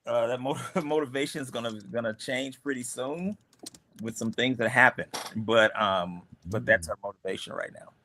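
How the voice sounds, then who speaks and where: A man's voice: medium pitch, moderate pace, pushed and bright, slight background noise. A man, the creator of a comic, chatting casually with his cast on a livestream, rambling with lots of fillers and restarts. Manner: rambling, many fillers and restarts, laughs often. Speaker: creator of the comic, the cast's boss.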